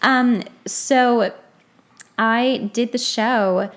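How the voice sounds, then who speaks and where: A woman chatting casually and telling stories in a blunt, animated way, slipping into acted-out quotes. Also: a little high, very slow. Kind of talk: casual chat, anecdotes.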